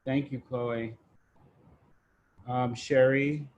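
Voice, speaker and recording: A man facilitating an online group discussion in a halting, informal, casual way. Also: mid-range voice, level tone, a little mellow. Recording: noticeable background noise on online call.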